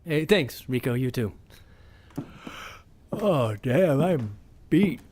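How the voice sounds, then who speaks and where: A man's voice: medium pitch, expressive, slow, darkish tone, breathy edge. An actor playing a friendly, tired male radio co-host, chatting in a slangy way in an audio drama. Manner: chummy, slangy. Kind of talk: audio drama, casual chat.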